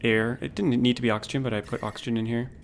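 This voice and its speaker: Calm, low-key man streaming a game. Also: slight background noise. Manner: relaxed, unhurried, thinks aloud with restarts. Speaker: livestreamer. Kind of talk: casual gameplay commentary.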